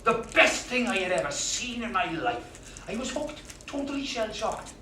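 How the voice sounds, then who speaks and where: An actor playing a volatile, violent jilted man. He swears heavily and swings from hushed confiding to roaring rage. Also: high voice, big pitch swings, moderate pace, slight background noise, full character voice. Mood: wistful. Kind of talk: theatrical monologue. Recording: echoey room, distant mic, music behind.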